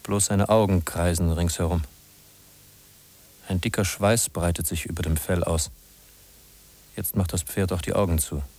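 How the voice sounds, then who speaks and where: A man's voice: low-pitched, fairly even intonation, moderate pace, long pauses, darkish tone. A man acting as the narrator of a 1950s German radio drama, an urgent, musing guide who addresses the listener with emphatic rhetorical questions. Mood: grave, intent. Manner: rhetorical, emphatic, questioning then answering himself.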